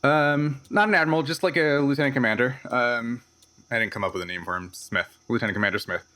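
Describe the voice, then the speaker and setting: A man running a tabletop roleplaying game, explaining and improvising in a halting, conversational way. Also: medium pitch, pushed and bright. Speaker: game master.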